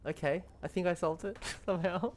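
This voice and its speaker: A woman's voice: lowish voice, brisk, darkish tone, slight background noise. A woman doing casual gameplay commentary, thinking aloud with puzzled reactions and exclamations. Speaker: game streamer. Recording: game music behind voice.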